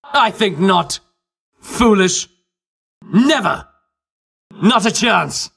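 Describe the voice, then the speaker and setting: A man's voice: high voice, animated, extremely slow, vocal fry, fairly bright tone, slightly rough, heavily acted. An actor playing a pompous, vainglorious Greek champion in a video game, disdainful of his foes, speaking in grandiloquent, archaic phrases with theatrical delivery. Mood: defiant, confident.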